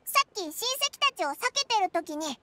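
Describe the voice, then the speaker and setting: A woman voicing a tiny, cheeky, excitable fairy girl with a childlike squeak and brash boyish phrasing in an anime game. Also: moderate pace, very noisy.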